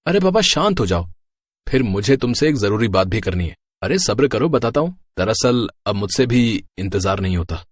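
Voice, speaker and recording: An actor playing a placating, secretive young husband in a horror storybook, speaking in a coaxing, explanatory way. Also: male voice, on the low side, very animated, normal speed, dry recording, studio quality. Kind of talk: acted horror storybook dialogue in Hindi.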